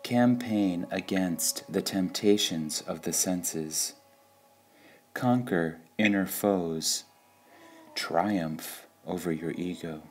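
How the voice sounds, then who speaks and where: Slow, low-pitched man reading spiritual sayings. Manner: measured, deliberate, with pauses between short phrases. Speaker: reader of spiritual quotations.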